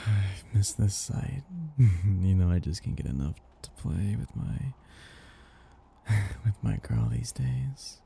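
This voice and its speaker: A man voicing a seductive, possessive vampire master in an ASMR roleplay, breathy and gravelly, speaking in a slow, gently domineering, teasing way. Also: fairly low pitch, lively intonation, a little hiss or hum, heavily acted. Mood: teasing, commanding. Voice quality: breathy, gravelly, often dropping into whispers. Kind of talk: ASMR roleplay, intimate scripted monologue.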